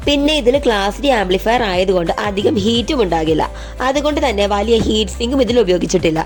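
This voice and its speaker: A woman's voice: mid-range voice, expressive, fast talker. Woman narrating over music. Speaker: product reviewer and presenter. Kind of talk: scripted product review narration.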